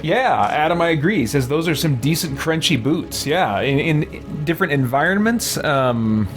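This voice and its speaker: A man's voice: a little high, lively intonation, moderate pace. A man streaming a video game, thinking aloud in casual commentary and chatting with viewers. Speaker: gaming streamer. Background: faint game music.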